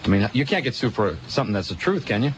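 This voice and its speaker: A man hosting a morning radio show, bantering quickly with callers in a phone-in contest. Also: mid-range voice, very animated. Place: radio studio. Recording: dull, noisy AM radio aircheck.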